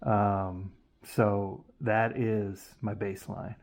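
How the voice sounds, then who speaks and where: A man's voice: lowish voice, flat delivery, very slow, a little mellow, slight background noise. A man hosting a casual hands-on tutorial, explaining informally and thinking aloud, often correcting himself as he goes. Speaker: YouTube host demonstrating vintage audio testing.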